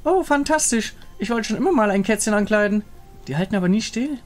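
Ein Mann spricht eine verspielte, herrische junge Frau in einem Fantasyspiel. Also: male voice, high-pitched, lively intonation, brisk, a little mellow, faint music behind, voice pushed up. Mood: gleeful.

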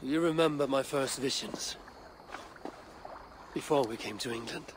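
An actor playing a grave, weary middle-aged Viking leader in a game, speaking in a solemn, measured, gravelly way. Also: male voice, medium pitch, natural voice. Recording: game cutscene with noisy waterside ambience.

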